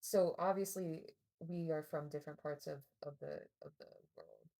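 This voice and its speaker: A woman co-hosting a casual podcast chat, sharing thoughtful opinions in a relaxed, hedging way. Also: low-pitched, moderate pace. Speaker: podcast co-host.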